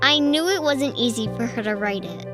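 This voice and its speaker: A woman reading a children's storybook aloud in a deliberate storytelling manner. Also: on the high side, animated, music in the background.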